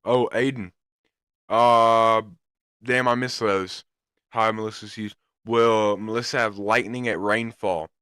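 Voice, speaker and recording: A slow-talking man with a lowish, hoarse voice, answering viewer questions live on a weather stream. He hesitates often and restarts his sentences. The sound is dry and close. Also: steady tone, a bit bright, no echo. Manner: hesitant, with many ums and restarts. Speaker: livestream weather host.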